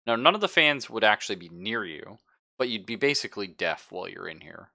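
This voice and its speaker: A man acting as game master in a tabletop roleplaying game, describing things conversationally and haltingly as he thinks aloud. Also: on the low side, sing-song, vocal fry, sharp tone. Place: live-streamed game session.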